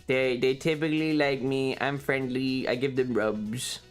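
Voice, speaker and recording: A man talks like a streamer chatting to viewers, telling a story in loose, halting pieces. His delivery is wry and informal, with some swearing. Music plays faintly behind his voice. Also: medium pitch, fairly even intonation. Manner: frequent throat clearing. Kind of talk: casual rambling storytelling on a stream.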